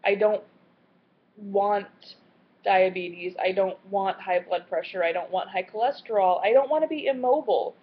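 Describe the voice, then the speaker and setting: A woman in her late twenties talking in a personal video diary, earnest and candid, with a halting way of speaking. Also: medium pitch, slightly rough. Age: late 20s. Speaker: vlogger. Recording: slightly muffled lo-fi audio.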